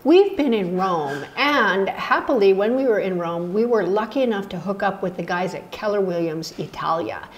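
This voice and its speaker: A woman's voice: mid-range voice, animated, moderate pace, few pauses, slight background noise. A middle-aged woman hosting a travel channel, interviewing a guest on a video call in an upbeat, enthusiastic way. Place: indoor room. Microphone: laptop camera on video call.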